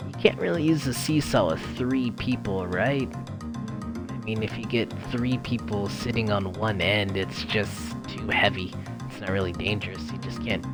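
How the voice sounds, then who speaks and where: A man commentating a game playthrough, reading the on-screen text aloud and thinking a riddle through out loud. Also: on the low side. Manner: slow, musing, talks through the riddle. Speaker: gaming commentator. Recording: loud game music behind the voice.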